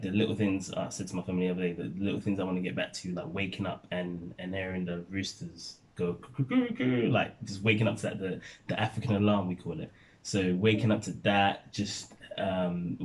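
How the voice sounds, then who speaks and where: A young man from Nigeria telling stories in relaxed casual chat, laughing often as a vlogger. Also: low voice, brisk.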